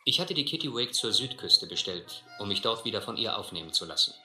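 An actor playing an earnest, wary, educated adventurer in a radio drama, speaking in a measured, literary way. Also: male voice, on the low side, very animated, pushed and bright, echoey room, faint music behind, exaggerated voice. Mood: tense, reflective. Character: earnest, wary male castaway narrator, educated gentleman adventurer. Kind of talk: scripted first-person narration and dialogue in radio drama.

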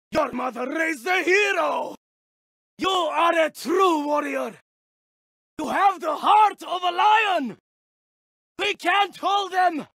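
Medieval eastern warrior, video game battle barks: very high strained shouts, slow emphatic delivery, dramatic man of war. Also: no echo, clean studio sound, heavily acted. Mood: hearty, then desperate.

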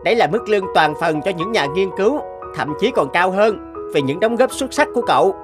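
A frank, concerned young cartoon friend. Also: male voice, high voice, lively intonation, brisk, over music. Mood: concerned, advising. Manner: earnest, frank, articulate. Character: concerned, principled young male academy friend. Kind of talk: cartoon dubbing.

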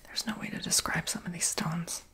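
A woman's voice: moderate pace, pushed and bright, close to an everyday voice. A woman whispering in an ASMR roleplay as a chatty, quirky, sassy crystal shop saleswoman. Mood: informative, musing. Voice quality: breathy whisper.

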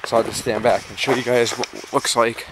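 A man's voice: mid-range voice, flat delivery, a little fast. Informal man talking over background noise. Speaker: backyard chicken keeper and vlogger. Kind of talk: casual how-to vlog talk. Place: outdoors in a yard and inside a small wooden coop. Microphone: handheld camera, operator behind it. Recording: noisy handheld camera audio.

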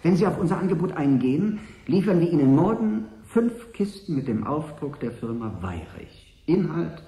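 A man acting as a calculating corporate envoy in a German radio play, speaking with smooth, insinuating deliberation. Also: a little high, very animated, moderate pace, dark tone, reverberant. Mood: coolly persuasive. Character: calculating corporate envoy offering a sinister bribe.

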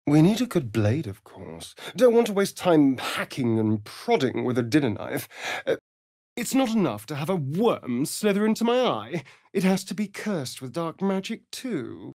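An actor with an English accent playing a sly, vain, mocking vampire elf rogue in a fantasy game, arch and teasing in manner. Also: male voice, fairly high pitch, big pitch swings, moderate pace, darkish tone, no echo, clean studio sound. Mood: sardonic, musing. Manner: arch, languid, teasing, theatrical. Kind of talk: theatrical fantasy game voice acting.

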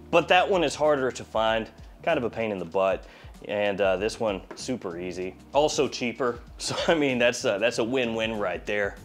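A man in his forties with a slight head cold, giving a casual, jokey product review as a video host. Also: mid-range voice. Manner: playful, jokey, wry asides. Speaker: fragrance review channel host. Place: small indoor studio.

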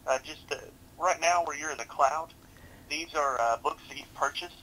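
A man's voice: fairly high pitch, normal speed, bright, forceful tone, slight background noise, poor audio. A young man working as a tech support advisor on a headset, politely and informally walking a customer through steps. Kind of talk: customer service walkthrough. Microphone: headset on a video support call.

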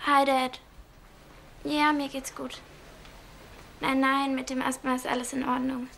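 A young actress playing a touchy, homesick teenage exchange student in a naturalistic TV drama. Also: female voice, high voice, little pitch movement, unhurried, a bit bright, a little hiss or hum. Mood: subdued. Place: furnished rooms, indoors.

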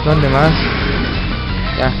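A high-pitched Chilean man directs his teammates through an online game. He is bossy, excitable and swears freely in slang. Loud game music plays behind his voice. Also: very slow, dull audio. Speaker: player leading a team in an online game. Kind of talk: casual gaming chat. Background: loud game music and effects.

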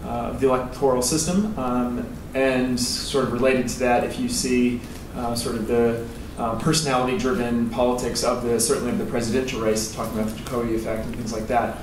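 A man's voice: mid-range voice, level tone, brisk, few pauses. Hesitant man asking a question in a roomy hall. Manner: rambling, hesitant. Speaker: audience member, PhD student. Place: lecture theatre. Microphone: roving handheld microphone. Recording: roomy hall, distant, noisy.

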